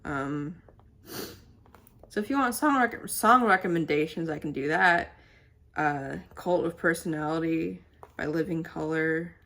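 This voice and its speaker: A woman sits at home chatting straight into her camera mic, her voice low and a little stuffy and nasal. She rambles, pausing and hunting for words as she goes. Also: fairly bright tone. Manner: rambling and halting, many fillers. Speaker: channel host. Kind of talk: casual to-camera vlog chat.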